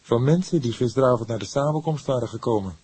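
A man's voice: medium pitch, quick pace, a little mellow, slightly rough, no room sound, pitched above the performer's own voice. A man voicing an earnest, defensive young man under questioning, speaking emphatically in acted audiobook dialogue. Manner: emphatic, flaring into defiance.

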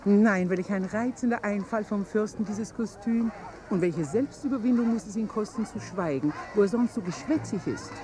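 A snobbish society lady played by a woman with a mid-pitched voice. She gushes in an affected, chatty way, as in 1950s radio drama. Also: moderate pace, close to an everyday voice. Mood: gushing delight. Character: gushing, snobbish high-society lady guest.

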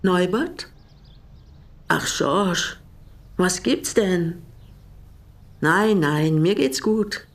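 Measured, bitterly composed older woman. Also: lowish voice, lively intonation, exaggerated voice. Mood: friendly, brisk. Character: older East German widow, once a political prisoner, bitterly composed. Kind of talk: naturalistic radio drama dialogue.

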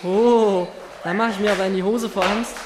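A woman's voice: mid-range voice, fairly even intonation, normal speed, background noise, lightly acted. A woman voicing a cheeky, self-assured schoolboy who teases and talks boldly. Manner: cheeky, teasing, brash. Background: music.

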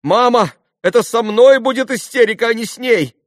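A man voicing an imperious, excitable teenage girl with a thin little voice and demanding exclamations, in an audiobook novel. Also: high voice, a little slow, no room sound, clean studio sound, voice pushed up. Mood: indignant, alarmed. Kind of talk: audiobook classic novel dialogue.

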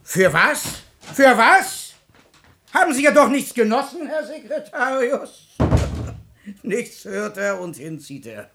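A man's voice: very high voice, very animated, slow, creaky, bright, forceful tone, slight background noise, exaggerated voice. An actor playing a blunt, hot-tempered but loving older musician father in a classic radio drama, with an earthy, exclamatory delivery. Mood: angry, blustering. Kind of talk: classic German stage drama radio acting.

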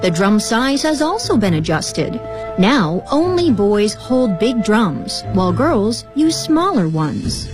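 A woman reading a scripted documentary narration in an expressive, lilting way. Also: medium pitch, slow, music in the background.

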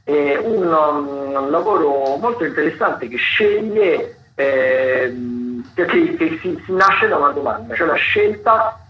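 A man hosting a radio show, presenting theatre listings off the cuff from notes in a halting way, often correcting himself. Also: a little high, lively intonation. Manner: hesitant, halting, self-correcting delivery. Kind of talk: unscripted radio commentary reading from notes. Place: radio studio. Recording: dull lo-fi radio sound, faint background noise.

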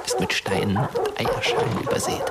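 A man's voice: mid-range voice, huge pitch swings, normal speed. An actor playing a dead teenage boy who watches and narrates as a ghost in a radio play. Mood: watchful. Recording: studio radio play under loud music.

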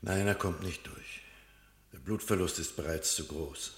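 Middle-aged doctor, low-pitched, cynical, measured, formal delivery, radio drama. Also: male voice, a bit bright. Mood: somber, subdued. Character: reserved, cynical middle-aged male doctor, narrating and arguing. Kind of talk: German radio drama acting with first-person narration.

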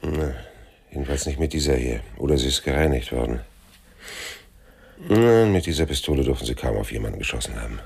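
An actor playing a terse, world-weary private detective with a gravelly voice and a dry, blunt manner in a radio crime drama. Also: male voice, extremely low pitch, lively intonation, fairly quick, pauses often, darkish tone, heavily acted. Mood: blunt, controlled. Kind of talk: radio crime drama acting and first-person narration.

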